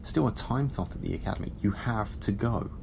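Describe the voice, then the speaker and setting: An actor playing an urgent, stern Time Lord ex-soldier in an audio drama, insistent and formal. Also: male voice, on the low side, expressive, brisk, vocal fry. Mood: pleading, forceful.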